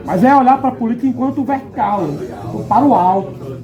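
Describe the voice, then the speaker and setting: A man's voice: very high-pitched, big pitch swings, brisk, highs cut off. A man talking at a panel in a loose, rambling way with many restarts and asides. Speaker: panel guest. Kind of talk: casual discussion. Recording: music behind.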